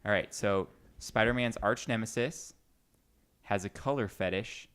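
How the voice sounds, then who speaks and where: A man hosting a podcast in a casual group chat and running a trivia game, informal and quick to laugh. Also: fairly low pitch, a bit bright, clear, resonant tone. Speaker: podcast host and quizmaster.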